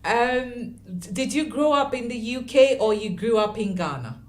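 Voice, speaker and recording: A woman hosting a radio interview, eager and quick to praise her guest. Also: on the high side, lively intonation. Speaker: radio host. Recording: roomy echo.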